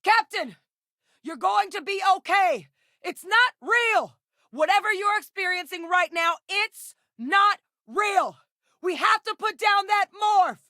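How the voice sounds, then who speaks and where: A voice actress playing a composed woman scientist with a military past, speaking in a measured, clipped, matter-of-fact way. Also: very high-pitched, expressive, pushed and bright, dry recording, clean studio sound, exaggerated voice. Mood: urgent. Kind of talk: video game voice lines.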